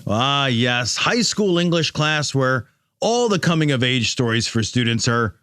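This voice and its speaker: A man's voice: medium pitch, lively intonation, measured pace, bright, forceful tone, no echo, clean studio sound. A man co-hosting a comedy podcast with sarcastic banter. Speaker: podcast co-host.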